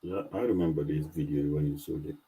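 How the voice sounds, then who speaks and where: A man's voice: extremely low pitch, fairly even intonation, brisk, warm tone, poor audio. A man chatting casually as a co-commentator on a livestream. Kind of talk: casual chat.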